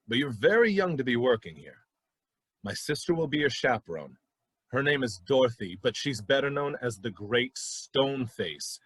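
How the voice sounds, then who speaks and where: A husky, skeptical cabaret boss, played by a man in a radio drama. He is curt and sardonic with a soft heart underneath, in a mid-pitched voice. The sound is dry, with no room on it. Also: no echo. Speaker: actor in a radio play. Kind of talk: radio play acting.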